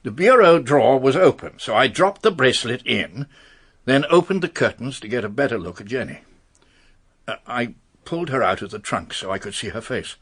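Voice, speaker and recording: Anxious English gentleman, husky, animated. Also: mid-range voice, brisk. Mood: shaken, hesitant. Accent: upper-class English. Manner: hesitant, stammering, polite. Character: anxious titled English gentleman confessing under questioning. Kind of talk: British radio detective drama acting.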